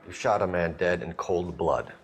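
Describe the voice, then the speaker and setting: An actor playing an earnest, hard-edged young American police detective in a video game, formal and pressing. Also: male voice, sits low, moderate pace, slight background noise, natural voice. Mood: firm, accusing. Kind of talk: video game cutscene acting.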